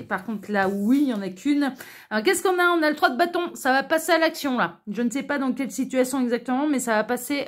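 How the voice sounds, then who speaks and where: A woman hosting an online tarot reading, talking casually to viewers in a chatty, informal, hesitant way full of asides. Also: a little high. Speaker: online tarot reader and channel host.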